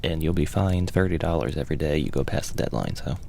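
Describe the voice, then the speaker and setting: A soft-spoken man doing an ASMR roleplay in a casual, rambling, dryly joking way. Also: rumbling low, lively intonation, fast talker, vocal fry, a little hiss or hum. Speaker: ASMR performer playing a furry fandom enrollment clerk.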